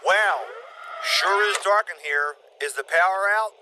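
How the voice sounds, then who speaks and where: Man playing a high-voiced, nervous plumber. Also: a little slow, noisy background. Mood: awkward. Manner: hesitant, stammering, affable. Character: awkward, polite, nervous male plumber. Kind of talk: comedic audio play acting.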